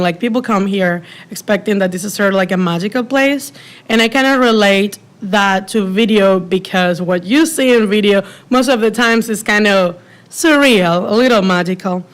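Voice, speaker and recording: Venezuelan woman chatting about her work. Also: mid-range voice. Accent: Venezuelan Spanish. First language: Spanish. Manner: relaxed, chatty, frequent fillers like "um" and "like". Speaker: filmmaker guest. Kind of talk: casual interview answers.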